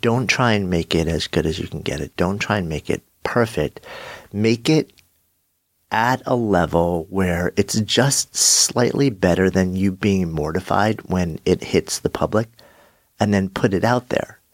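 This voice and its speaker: A middle-aged man hosting his own podcast, talking reflectively with a slightly nasal voice from a cold and pausing often. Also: sits low, no room sound, clean studio sound. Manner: thoughtful, measured, with frequent pauses and fillers. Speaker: podcast host. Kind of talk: solo reflective podcast monologue.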